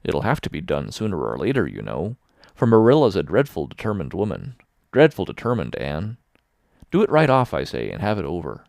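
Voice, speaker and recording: A man voicing a shy, awkward older farmer who speaks in a hushed, halting, coaxing way. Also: low voice, wildly swooping pitch, creaky, no room sound, clean studio sound, full character voice.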